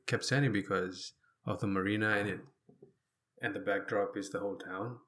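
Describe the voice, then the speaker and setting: A man from Fiji speaking as an interview guest, telling his life story conversationally and pausing often as he goes. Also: lowish voice, fairly even intonation, a bit bright.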